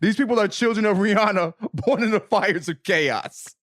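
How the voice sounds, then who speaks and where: An American man in his thirties co-hosting a podcast with casual comic banter, animated, slangy and profane. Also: sits high, moderate pace. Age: 30s. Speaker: podcast co-host. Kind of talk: casual comedic banter and mock-dramatic reading.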